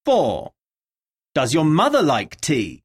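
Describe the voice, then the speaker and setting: Woman doing english lesson narration, super slow and careful, a bit low and raspy. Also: wildly swooping pitch. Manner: deliberate, enunciated reading with pauses between items. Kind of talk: scripted English lesson narration, reading words and dictation.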